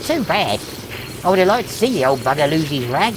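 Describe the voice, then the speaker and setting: A woman's voice: deep voice, very animated, a little fast, noisy background. A woman playing a mocking, bossy companion with dry sarcasm in a comedy audio drama. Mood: dry mockery. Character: mocking, bossy companion needling monster hunters.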